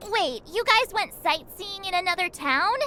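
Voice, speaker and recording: Very high, cheeky girl adventurer. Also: moderate pace, slight background noise, heavily acted. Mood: puzzled. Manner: expressive, sassy, informal. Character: cheeky, grumbling, excitable young girl adventurer. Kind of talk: anime-style game voice acting. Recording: music behind.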